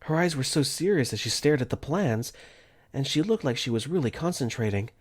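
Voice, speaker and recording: Brief: male narrator-lead, lively pitch, earnest and flustered, first-person visual novel dub. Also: fairly quick.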